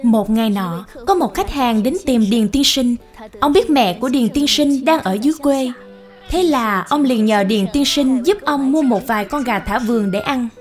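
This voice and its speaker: A woman narrates a scripted tale. Her voice sits on the high side. Gentle background music runs beneath the narration. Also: expressive, normal speed. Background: soft music.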